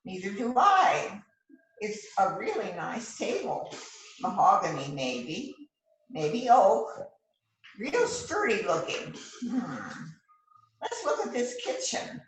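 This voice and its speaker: A woman playing a bossy, showy police detective in an amateur readers theater rehearsal, speaking in a deliberate, emphatic way. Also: mid-range voice, wildly swooping pitch. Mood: pompous, musing. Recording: roomy, distant mic with faint noise.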